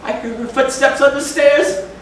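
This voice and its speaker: A nervous wreck of a gothic gentleman, unravelling with guilt and dread. His voice is extremely high for a man and theatrically fevered. It rings through a very echoey space, far from the microphone. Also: steady tone, normal speed. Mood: mounting terror. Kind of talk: dramatic readers' theatre of a gothic tale. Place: echoey indoor theatre, live stage reading. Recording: very echoey hall, distant mic, faint noise.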